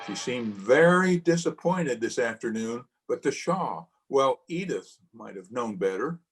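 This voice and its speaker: A man's voice: medium pitch, lively intonation, measured pace, faint music behind, low-quality recording. Man acting a doting husband, swooping intonation. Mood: defensive. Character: doting, reassuring husband of nine years. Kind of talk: old-time radio play acting. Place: home, over a video call.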